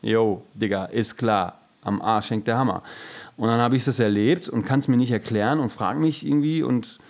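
Male podcast co-host with a medium-pitched voice, talking loosely and earnestly in slang, feeling his way through sentences. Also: normal speed, vocal fry, darkish tone. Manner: slangy, earnest, searching for words. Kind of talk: casual conversational chat.

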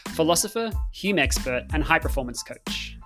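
A man's voice: fairly high pitch, lively intonation, moderate pace, fairly bright tone. Podcast host guy, polished upbeat delivery, faint music behind him. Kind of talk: scripted intro then interview host.

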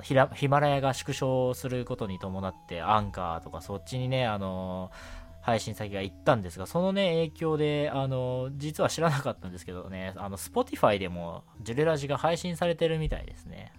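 A man hosting a casual, chatty night radio show in a relaxed, gently self-deprecating way. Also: lowish voice.

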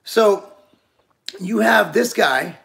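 A man in his forties talks in a loose, rambling way, restarting his sentences as he chats with a co-host. His voice sits high for a man and has a gravelly edge. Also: animated, drawn-out words, fairly bright tone. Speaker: podcast co-host. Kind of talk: casual chat.

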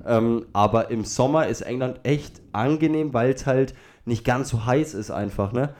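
Male podcast co-host, medium-pitched voice, colloquial and opinionated in casual conversation. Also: moderate pace, darkish tone. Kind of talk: casual chat.

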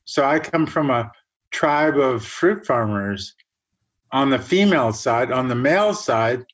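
A man in his sixties, born in Germany, answering interview questions as a guest scientist in a measured, reflective way. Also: medium pitch, animated. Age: 60s. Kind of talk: podcast interview.

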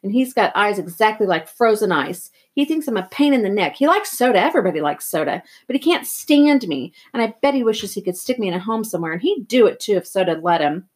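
A woman's voice: medium pitch, expressive, fast talker, few pauses, lightly acted. Storybook teenage boy, played by a woman, sensitive and defensive, slangy and emphatic. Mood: bitter, hurt. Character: sensitive, hot-tempered teenage boy narrator, bitter and defensive.

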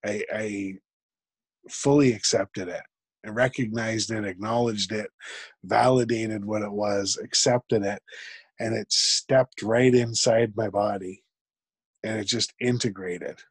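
A man with a fairly deep voice talks as an interview guest. He speaks reflectively and haltingly, often restarting his sentences. His voice is recorded close and dry, with little room sound. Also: fairly even intonation, husky, no room sound. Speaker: podcast guest. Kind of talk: conversational interview.

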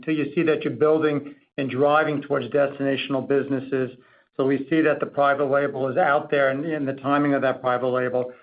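A man speaking as a company executive, explaining things in wordy corporate phrasing on an earnings call. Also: medium pitch. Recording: thin, tinny phone-conference line.

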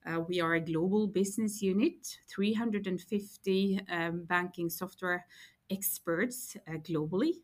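A woman's voice: fairly low pitch, fairly even intonation, measured pace. A woman in her forties with a Norwegian accent, explaining her work as an interview guest and repeating words as she thinks. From Norway. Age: 40s. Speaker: guest, head of core banking. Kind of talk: interview answers.

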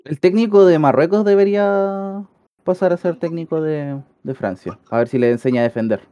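Dry, higher-pitched Chilean man bantering. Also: normal speed, dry recording, dull audio. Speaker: football commentator on a group broadcast. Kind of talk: casual football banter with slang.

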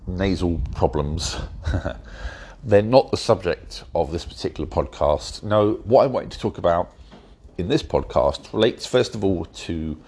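A man hosting his own podcast, congested and stuffy-nosed from hay fever, talking in a wry, measured way and a bit slowly. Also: sits low, darkish tone, breathy edge. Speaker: podcast host. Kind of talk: solo podcast commentary.

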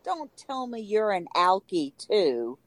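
A woman playing a carefree, reckless joyrider in an audio drama, with a lively conversational delivery. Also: medium pitch, slow pace, no echo. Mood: playful. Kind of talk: amateur audio drama dialogue.

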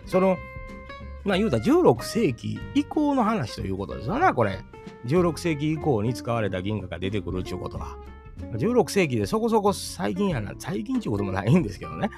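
A man with a Kansai accent chatting casually and rambling in a lively way. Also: medium pitch, fairly quick. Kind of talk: casual monologue. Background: guitar music.

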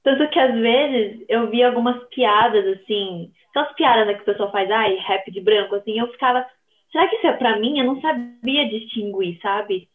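A woman's voice: a little high, normal speed. A woman with a Brazilian accent speaking as a podcast guest in informal, conversational talk full of slang and tag questions, over a thin call-quality line. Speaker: podcast guest, social media creator. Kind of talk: casual conversational interview. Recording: thin phone-like remote line.

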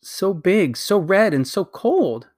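A man's voice: high-pitched, big pitch swings, slow pace, a little mellow, voice pushed up, full character voice. A man voicing a curious, earnest young boy who asks eager questions.

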